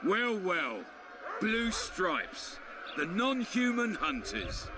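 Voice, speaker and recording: An actor playing a crude, swaggering town commander in a video game, theatrical, sardonic and menacing. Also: male voice, high-pitched, huge pitch swings, extremely slow, noisy background, full character voice. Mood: smug.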